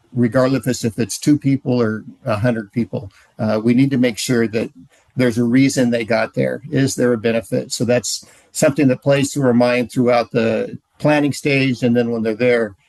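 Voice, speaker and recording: A man leading a marriage ministry, explaining in a plain, earnest way with many pauses and "uh" fillers. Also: mid-range voice, darkish tone, dry recording, rough recording. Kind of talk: training session talk.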